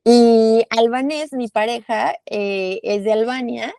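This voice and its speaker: A woman's voice: on the high side, steady tone, takes it slowly, gravelly, warm tone, clean tone, no echo. A young woman with a Mexican accent, a guest talking through her answers in a casual interview, with many fillers and restarts. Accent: Mexican Spanish.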